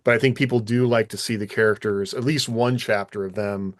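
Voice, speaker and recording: A man's voice: lowish voice, fairly even intonation, fairly quick, dry recording, studio quality. A man co-hosting a podcast as a writer, discussing craft casually in a tentative, explanatory way.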